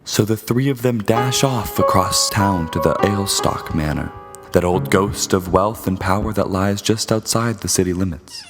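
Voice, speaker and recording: A man acts as a wry storyteller for a noir detective radio play. His low voice is measured and dramatic, with loud guitar music behind it. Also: natural voice. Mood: atmospheric.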